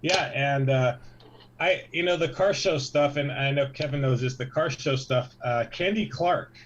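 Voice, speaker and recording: A man's voice: medium pitch, a little slow, highs cut off, background noise. A man in his fifties chatting on a video call panel, rambling with many fillers. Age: 50s. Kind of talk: casual panel chat.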